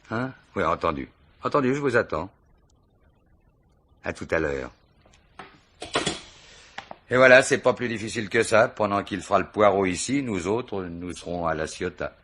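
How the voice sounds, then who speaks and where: An actor playing a wily, self-assured police commissioner in a radio mystery play, talking in a colloquial, teasing way. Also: male voice, on the low side, animated, normal speed, pauses often, fairly bright tone, slight background noise. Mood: smooth, bluffing. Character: wily, jovial, bluffing male police commissioner. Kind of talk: French radio mystery drama acting.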